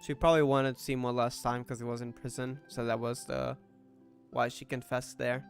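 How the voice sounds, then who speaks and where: A man's voice: mid-range voice, flat delivery, a little fast, gravelly. A man giving casual reaction commentary on a story game, with murmured asides and chuckles. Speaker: gamer reacting to a story playthrough. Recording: faint game music behind. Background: game dialogue and soft music.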